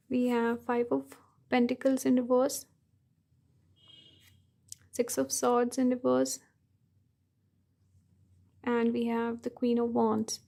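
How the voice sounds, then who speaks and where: A woman giving a pick-a-card tarot reading, her voice hoarse and strained from many readings, talking through her impressions at an unhurried pace. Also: a little high, mellow. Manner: thinking aloud, unhurried. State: hoarse, tired voice. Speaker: tarot reader.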